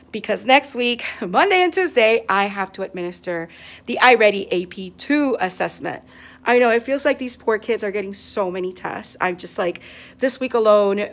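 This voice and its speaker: A chatty woman in her thirties recaps her day in a casual vlog, talking animatedly to a phone held at arm's length. Her voice sits a little high, and the sound is that of an empty classroom. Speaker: teacher vlogger.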